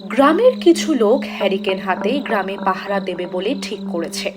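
A woman's voice: medium pitch, expressive, brisk, music in the background. A woman narrating a scripted fairy tale as a storyteller.

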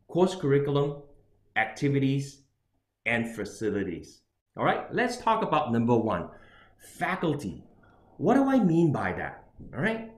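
A man's voice: mid-range voice, roomy sound. A middle-aged man from Singapore, giving a webinar-style presentation as an international student advisor and often ending points with "all right?". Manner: repetitive tag questions, emphatic. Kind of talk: webinar presentation on choosing universities. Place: indoors with a virtual background.

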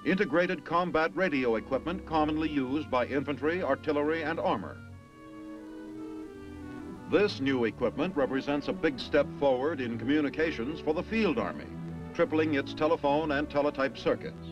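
An American man reading formal, emphatic scripted narration in the manner of a 1950s military documentary, with measured phrasing. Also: fairly high pitch. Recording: dull vintage film soundtrack with music.

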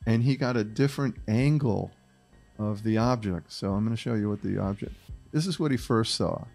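A middle-aged man hosting a podcast, calmly walking a co-host through old photos step by step on a studio mic. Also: lowish voice, moderate pace, vocal fry, faint music behind. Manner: explains step by step, repeats phrases. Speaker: podcast host presenting a case. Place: small studio with red backdrop. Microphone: studio mic on boom arm, headphones.

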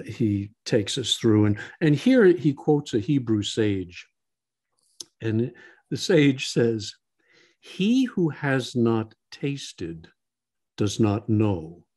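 A man's voice: medium pitch, darkish tone. A man co-hosting a podcast discussion, emphatic and given to repeating key phrases. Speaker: podcast co-host.

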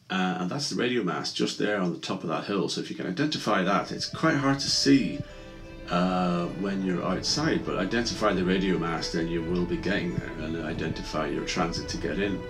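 A man giving a relaxed, hesitant voice-over about sailing, with many ums and uhs. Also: sits low. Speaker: sailing vlogger. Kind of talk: casual voice-over narration. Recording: voice-over with music behind.

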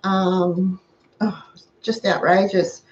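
A woman's voice: medium pitch, level tone, drawn-out words, a little hiss or hum. An older woman with an Australian accent, chatting through a cooking demonstration in her home kitchen and sharing practical money-saving tips. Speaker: host of a frugal cooking channel. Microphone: fixed camera across the counter. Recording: roomy, dull lo-fi sound.

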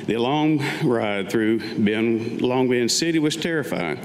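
A man's voice: medium pitch, expressive, takes it slowly. An older man, around seventy, reading his own written personal story aloud at a staged reading, with wry asides. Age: older, about 70. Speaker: Vietnam veteran reading his own piece. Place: public meeting room with audience, indoors. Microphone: gooseneck lectern microphone. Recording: reverberant hall, faint background noise.

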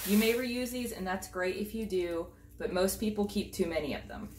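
A woman's voice: medium pitch, normal speed, a little mellow, recorded from a distance, slight background noise. A woman in her thirties giving a casual, upbeat home organizing demonstration, moving around as she talks. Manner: chatty and upbeat, moving around while handling bags. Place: home entryway with hardwood floor, indoors. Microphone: camera mic at a distance. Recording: echoey room, voice from off the mic.

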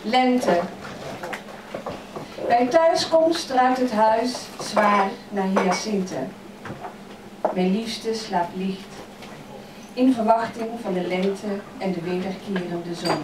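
Slow-reading woman poet in a café. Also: medium pitch, far from the mic, heavy background noise. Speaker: poet reading her poems. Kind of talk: poetry reading. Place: crowded old café, indoors. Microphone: stand mic, filmed from across the room. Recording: echoey café filmed from afar, loud chatter.

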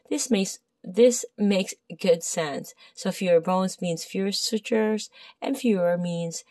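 A woman's voice: on the low side, darkish tone, no echo. A woman with a Filipino accent walking through an anatomy textbook page in a casual study lesson, hesitant, with many fillers and self-corrections. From Philippines. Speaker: massage therapy tutor. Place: indoors at a desk. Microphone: phone camera overhead, speaker off screen.

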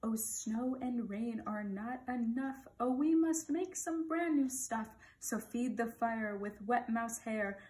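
A woman's voice: fairly high pitch, a little mellow. Woman acting a sinister chanting storybook magician. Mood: muttering, scheming. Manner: rhythmic incantation, drawn-out words.

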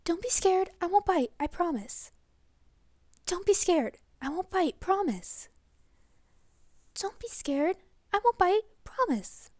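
Mother wolf, acted by a woman: high, sweet-toned, gentle, coaxing, reassuring, cartoon comic dub. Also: very animated, slow pace, long pauses, dry recording, clean studio sound, full character voice. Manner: soft, reassuring.

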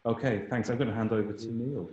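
A man's voice: medium pitch, fairly even intonation, fast, a little mellow. A man in his forties giving informal opening remarks as organizer of an online event. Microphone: computer mic on a video call. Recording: echoey room, dull video call audio.

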